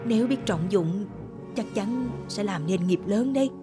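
A woman voicing a stately older male general in an animated folktale, speaking formally with measured authority. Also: mid-range voice, music in the background, close to an everyday voice. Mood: grave, approving.

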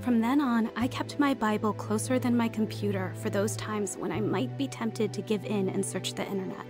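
A woman's voice: mid-range voice, moderate pace, over music, natural voice. A woman playing an earnest, repentant teenage girl in an audio drama, speaking in a confessional way. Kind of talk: dramatized first-person narration and dialogue.

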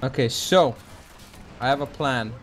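A man in casual gaming banter, talking in halting, hesitant bursts. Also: medium pitch, slight background noise. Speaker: video game player.

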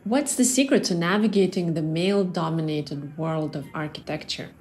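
A woman's voice: lowish voice, normal speed, a little mellow. A woman hosting a podcast, welcoming her guest in an upbeat, friendly way and asking interview questions. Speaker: podcast host. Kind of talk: scripted podcast intro and questions.

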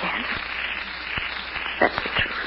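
An actress playing a cool, seductive schemer in 1940s radio noir style, terse and guarded. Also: female voice, slow pace, a crowd in the background, lightly acted. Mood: hesitant, guarded. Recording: muffled, noisy old radio recording.

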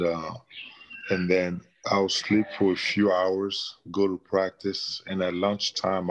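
A man's voice: sits low, rough recording. A middle-aged man from the DR Congo, a painter and former basketball player, answering interview questions thoughtfully from a small room, with many restarts. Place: small plain room indoors.

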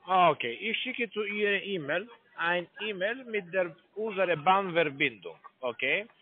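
A man with a foreign accent making a sales pitch on a phone call in broken, halting German. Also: lively intonation, slightly rough, no echo. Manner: broken halting German, many restarts and fillers. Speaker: scam call center agent. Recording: tinny phone line.

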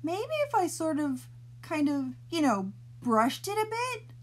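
Woman doing a flustered little boy. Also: unhurried. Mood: hesitant. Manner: high and excited. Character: young boy with wild bedhead, flustered.

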